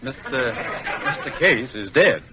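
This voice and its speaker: Through thick noise on a muffled vintage radio recording, a flustered bank clerk with an American accent. His mid-pitched voice is formal and politely strained. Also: sing-song, unhurried, a loud crowd. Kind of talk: old-time radio comedy acting.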